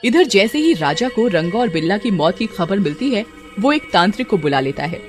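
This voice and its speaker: Animated woman narrating a story over music. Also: mid-range voice, normal speed. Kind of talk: scripted dramatic storytelling narration. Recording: music under the voice. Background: background music.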